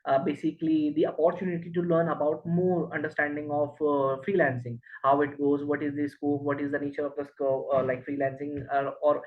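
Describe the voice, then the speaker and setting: A man from India hosting a podcast interview, speaking haltingly with many fillers and restarts. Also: fairly high pitch, little pitch movement. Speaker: podcast host. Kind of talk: interview podcast over a call. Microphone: video call. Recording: tinny phone-like call audio.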